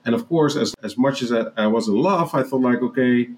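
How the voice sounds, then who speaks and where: Dutch guy chatting away on an interview, lots of restarts and "super cool", mid pitch. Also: a little fast, a little mellow, a little hiss or hum. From Netherlands. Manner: rambling, frequent restarts and fillers, enthusiastic. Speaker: guest entrepreneur. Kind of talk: casual storytelling interview.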